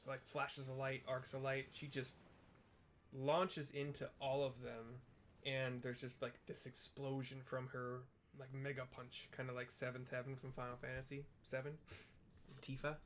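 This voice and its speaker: A man's voice: medium pitch, monotone, unhurried, a bit bright, slight background noise. A young man casually narrating a game cutscene in a rambling way, full of "like" and "kinda.". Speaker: let's play narrator.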